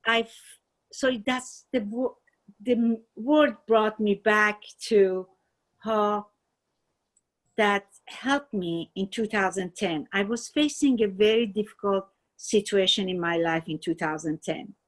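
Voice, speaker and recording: Slow, earnest woman with a Persian accent. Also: medium pitch. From Iran. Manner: earnest, halting, with many restarts. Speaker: class participant. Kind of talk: personal testimony.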